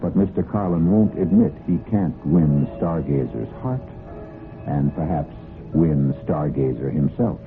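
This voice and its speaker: A man hosting and narrating an old-time radio mystery in a scripted, measured, theatrical manner. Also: rumbling low, animated. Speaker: host and narrator. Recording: muffled old radio broadcast with music. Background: music.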